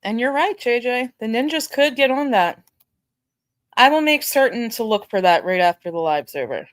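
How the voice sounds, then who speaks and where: A slightly higher-pitched woman hosting a gossip livestream. She agrees readily with her co-host and throws in catty, profane jabs. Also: expressive, moderate pace. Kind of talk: casual gossip livestream chat.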